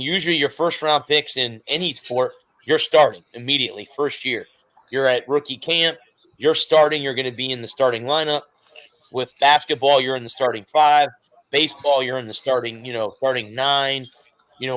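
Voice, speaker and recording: A man's voice: mid-range voice, steady tone, normal speed, fairly bright tone, low-quality recording. A man co-hosting a casual sports talk show, explaining at length and correcting himself as he goes. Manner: rambling, self-correcting explanations. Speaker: co-host.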